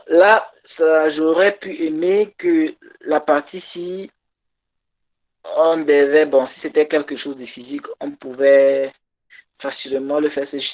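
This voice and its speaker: A man whose first language is English, giving a workshop talk in halting French over a video call and pausing to search for words. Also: fairly high pitch. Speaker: conference speaker. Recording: tinny phone-like call audio.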